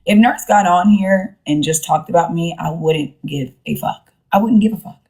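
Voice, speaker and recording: A woman with a slightly low voice hosts a casual live stream. She speaks bluntly and sassily, leaning on slang and swearing freely. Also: moderate pace, dark tone, husky, rough recording. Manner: blunt, sassy, profane, slangy. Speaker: livestream host.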